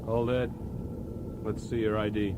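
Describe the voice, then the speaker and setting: Slow-talking curt guard played by a man. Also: lowish voice, level tone, background noise, close to an everyday voice. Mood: brusque. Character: curt checkpoint guard, male. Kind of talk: radio drama acting.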